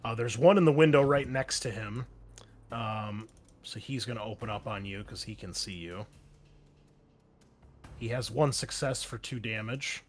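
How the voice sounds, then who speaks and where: A man running a tabletop game as game master, in a casual, relaxed way with frequent fillers. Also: medium pitch, unhurried, pauses often, soft background music.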